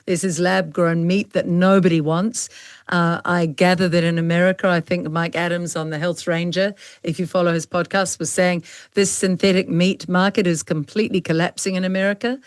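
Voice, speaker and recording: A woman's voice: on the low side, little pitch movement, moderate pace, clean tone, no room sound. A woman hosting a conversational online panel interview, speaking in an earnest, emphatic way. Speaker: interview host.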